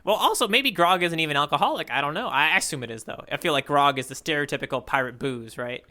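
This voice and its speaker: A man's voice: a little high, animated, quick pace, bright, forceful tone. A man co-hosting a podcast in casual chat, riffing on tangents and tossing in little sound effects. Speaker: podcast co-host.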